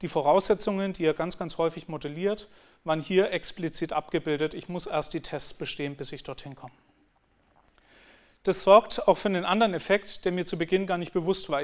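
A man giving a talk on teaching, explaining in an earnest way and often restarting his sentences. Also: high-pitched. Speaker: lecturer.

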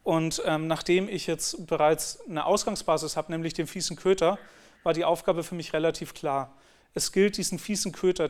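A man giving a talk on teaching, explaining in an earnest way and often restarting his sentences. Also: on the high side. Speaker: lecturer.

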